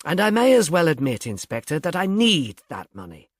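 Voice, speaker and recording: A man's voice: fairly high pitch, wildly swooping pitch, moderate pace, no room sound, studio quality, pitched above the performer's own voice. A man voicing an elderly, self-possessed society woman in an audiobook, tartly amused and condescending. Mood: patronising, cool.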